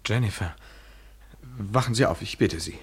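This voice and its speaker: An actor playing a coolly courteous, domineering and evasive lover in a 1970s German radio drama, with measured, deliberate phrasing. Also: male voice, lowish voice, slightly rough. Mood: awkwardly courteous.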